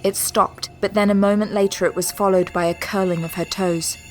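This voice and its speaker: A woman's voice: medium pitch, steady tone, a little fast, faint music behind. A woman narrating a sci-fi audio drama in a scripted, dramatic style.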